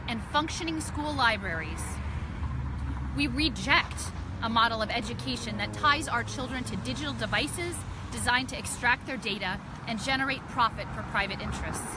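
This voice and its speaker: A middle-aged woman, a parent activist, earnestly reading a prepared statement from notes outdoors on a city street, recorded by a phone camera at arm's length. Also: sits high. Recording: loud street traffic noise. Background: traffic and city noise.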